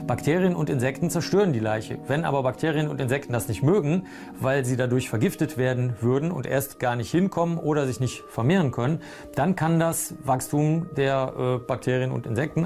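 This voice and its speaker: A man's voice: mid-range voice, fairly quick, music in the background. A man giving an unscripted expert explanation, conversational and rephrasing himself as he goes. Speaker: forensic expert commentator.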